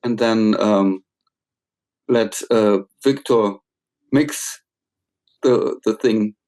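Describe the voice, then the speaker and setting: A man, a songwriter, talking casually in a halting way with frequent restarts. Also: fairly low pitch, darkish tone. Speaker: songwriter, workshop participant. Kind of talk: casual conversation.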